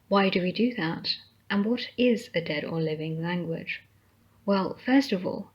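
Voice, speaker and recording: A British woman, an English teacher, narrating a scripted lesson in a slow, careful, measured way. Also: fairly low pitch, husky. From Britain. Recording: dull lo-fi recording.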